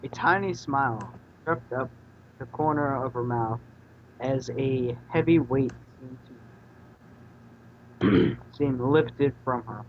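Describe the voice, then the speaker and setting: Man, medium pitch, casual story reading, character dialogue, dull recording with faint noise. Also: takes it slowly, pauses often. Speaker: reader in a group fanfiction reading.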